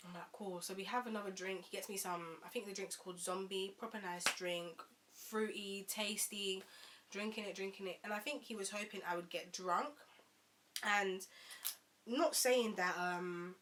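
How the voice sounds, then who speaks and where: A young woman, telling a storytime vlog in an animated, indignant way and sometimes swearing. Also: medium pitch, moderate pace, noisy background. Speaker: vlogger.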